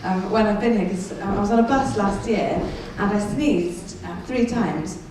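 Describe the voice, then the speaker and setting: A woman's voice: moderate pace, noisy background. A woman from Wales with a light Welsh-English accent, performing a chatty, self-mocking stand-up comedy set. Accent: mild North Welsh-English. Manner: chatty, self-mocking, bursts of shrill put-on voices. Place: large concert hall on stage. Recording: echoey concert hall, taped from far off. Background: laughing crowd.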